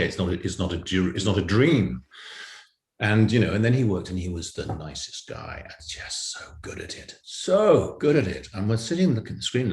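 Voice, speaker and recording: A man telling anecdotes as an interview guest on a video call, emphatic and prone to repeating phrases. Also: low-pitched. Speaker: audio drama writer and guest. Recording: roomy, echoing video-call sound.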